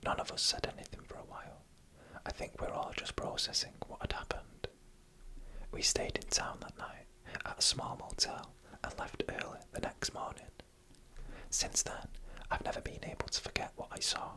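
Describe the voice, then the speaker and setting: A narrator reading eerie stories in a hushed ASMR whisper, with deliberate pauses between phrases. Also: low-quality recording.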